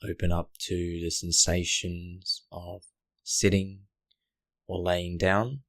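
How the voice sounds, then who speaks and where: A man guiding a meditation in a gentle, unhurried way, speaking in short phrases with long pauses. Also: low-pitched, flat delivery, gravelly, no room sound. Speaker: meditation guide. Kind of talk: guided meditation narration.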